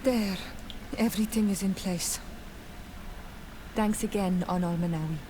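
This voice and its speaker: A woman's voice: mid-range voice, unhurried, warm tone, a little hiss or hum, close to an everyday voice, rough recording. An actress playing an earnest, devoted young native clanswoman in a video game. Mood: solemn, grateful.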